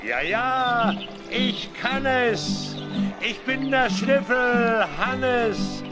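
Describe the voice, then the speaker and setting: A man playing a carefree, penniless vagabond in a children's radio play, in a drawn-out singsong. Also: extremely high pitch, heavily acted. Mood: carefree singing. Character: carefree penniless male vagabond animal, singing as he roams. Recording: loud music under the voice.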